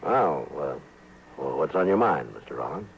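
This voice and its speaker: An actor playing a tough, terse, unbending US marshal in an old-time radio western, curt and authoritative. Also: male voice, a bass voice, sing-song, moderate pace, creaky, a little hiss or hum, exaggerated voice. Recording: dull old radio recording.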